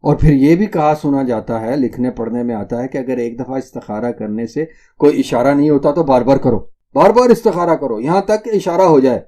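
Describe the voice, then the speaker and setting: Dark-voiced man giving an Islamic lecture. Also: medium pitch, hardly any pauses. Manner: didactic, emphatic, repeats points for stress. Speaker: religious lecturer. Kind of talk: Islamic sermon lecture addressed to listeners.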